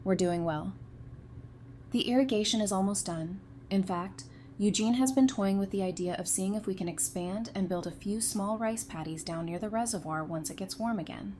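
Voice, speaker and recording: A woman's voice: mid-range voice, moderate pace, a little mellow, a little hiss or hum, lightly acted. A woman playing a frank, devoted survivor on a community council in an audio drama. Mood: matter-of-fact.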